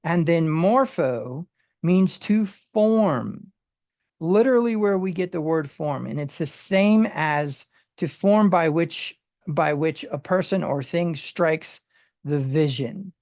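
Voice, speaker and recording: A Bible study teacher who explains word meanings in a halting way, with many fillers and restarts. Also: mid-range voice, lively intonation, a little mellow, dry recording, studio quality.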